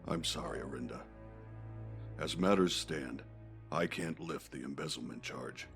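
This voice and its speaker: Actor as a very deep, gravelly calculating senator. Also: male voice, a little slow, music in the background, heavily acted. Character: calm, calculating senator, older political dealmaker. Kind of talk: acted comic dub dialogue.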